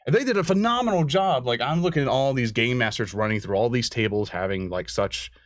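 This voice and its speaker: A man hosting a casual interview, chatting in an excitable, rambling way. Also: mid-range voice, fairly quick, no room sound, clean studio sound. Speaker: interviewer, show host.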